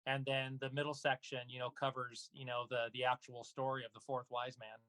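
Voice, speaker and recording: A man's voice: mid-range voice, level tone, a little fast, a bit bright, no echo, rough recording. Man talking in a dry, close sound. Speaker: guest, voice actor and audio drama producer. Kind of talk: casual interview talk about own projects.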